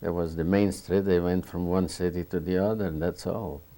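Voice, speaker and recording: Older man, 70s, from Romania. Deep gravelly voice, slow, halting oral-history answers. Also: steady tone, mellow. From Bukovina, Romania. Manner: halting, searching for words with many restarts. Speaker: Holocaust survivor interviewee.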